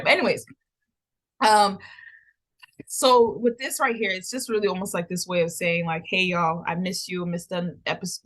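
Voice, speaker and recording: A woman of thirty, giving an impromptu casual vlog update in an animated, playful way, laughing often. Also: mid-range voice. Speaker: podcast host.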